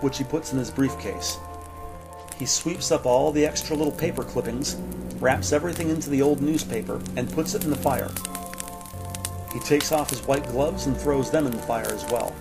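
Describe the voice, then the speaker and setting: A man's voice: medium pitch, moderate pace, creaky, poor audio. Male drama narrator over music. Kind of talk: scripted present-tense audio drama narration.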